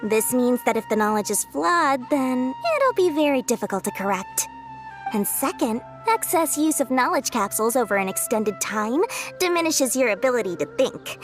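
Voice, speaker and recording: A woman's voice: fairly high pitch, animated, moderate pace, faint music behind, natural voice. An actress voicing a shrewd, cheeky young woman merchant in a video game, with glib, theatrical sales patter. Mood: explaining, knowing.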